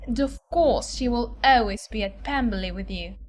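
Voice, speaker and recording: A woman's voice: fairly high pitch, moderate pace, slight background noise, natural voice. An actress playing a witty, catty young society lady in a dramatized audiobook, arch and teasing. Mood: teasing, mocking.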